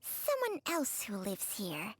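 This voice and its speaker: A woman voicing a proud, sardonic, sharp-tongued ancient female snake spirit in a video game. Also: mid-range voice, wildly swooping pitch, slow, a crowd in the background. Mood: mocking. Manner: haughty, sardonic, teasing.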